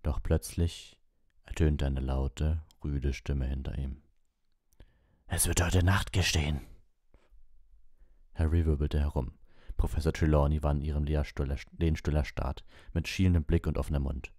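A man's voice: a bass voice, little pitch movement, moderate pace, dark tone, no room sound, clean studio sound. A man reading fiction aloud as an audiobook narrator. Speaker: audiobook reader.

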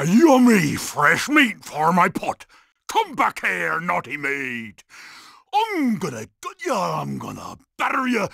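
A man's voice: high voice, gravelly, sharp tone, dry recording, clean studio sound, heavily acted. A man voicing a greedy, murderous walrus chef in a video game, relishing his menacing threats. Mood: hungry relish.

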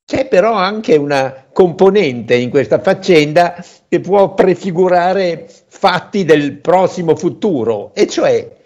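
Slow, reflective older man on a call. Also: high-pitched, lively intonation, darkish tone. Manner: slow, reflective, with pauses and hums. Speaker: commentator. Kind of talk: reflective commentary over video call. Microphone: earphone mic on a video call. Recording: dull lo-fi video call audio.

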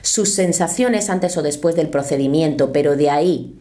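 A woman's voice: low voice, normal speed, dark tone. A woman, talking earnestly to camera from a small home studio and reading quotes aloud. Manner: earnest, explanatory, gestures with her hands. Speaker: youtuber commentator.

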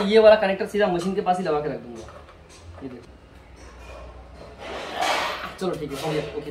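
A man casually presenting a product unboxing, informal and repetitive as he works, recorded outdoors on a porch from a camera a few metres away. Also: sits high. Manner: informal, hands-on, repeating himself while handling items. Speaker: product reviewer. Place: outdoor porch by house steps. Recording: roomy, distant mic, clearly noisy, clicks.

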